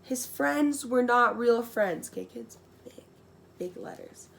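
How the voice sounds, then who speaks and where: Slow-paced young woman reading a storybook. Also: on the high side, expressive, creaky. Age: young adult. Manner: informal, giggly asides, slangy. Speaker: storyteller reading an original children's book. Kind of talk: rhyming storybook read-aloud with casual joking asides.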